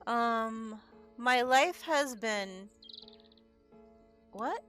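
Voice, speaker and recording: Slow-paced woman haltingly reading aloud. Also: on the high side, lively intonation, fairly bright tone, faint music behind. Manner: stumbles over words and sounds them out. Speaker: streamer playing a visual novel. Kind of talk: halting read-aloud of game text with casual chat.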